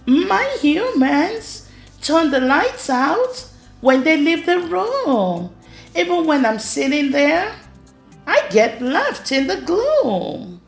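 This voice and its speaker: A woman reading a rhyming children's storybook aloud in an expressive way. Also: high voice, takes it slowly, vocal fry. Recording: roomy echo with music underneath. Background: music.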